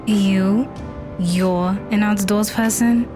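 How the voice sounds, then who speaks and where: A woman's voice: mid-range voice, fairly even intonation, slow pace, over music, lightly acted. A woman playing an aloof, critical young woman in an audio drama, with a dry, dismissive manner. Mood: skeptical.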